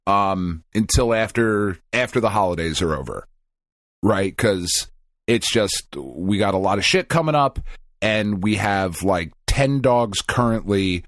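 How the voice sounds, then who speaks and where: A man's voice: lowish voice, no echo, clean studio sound. A man co-hosting a casual podcast, rambling and venting with lots of swearing and restarts. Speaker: podcast co-host.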